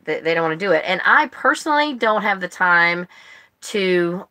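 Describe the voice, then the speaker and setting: A woman's voice: on the low side, lively intonation, normal speed, pushed and bright. An American woman hosting a book club live stream, chatting casually in a rambling way. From United States. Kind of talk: casual chat. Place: live stream, speaking to viewers online.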